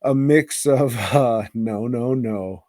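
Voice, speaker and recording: A man's voice: mid-range voice, takes it slowly, a little mellow, no echo, studio quality. A man hosting a casual movie review podcast, talking in a crude, slangy, rambling way with plenty of swearing.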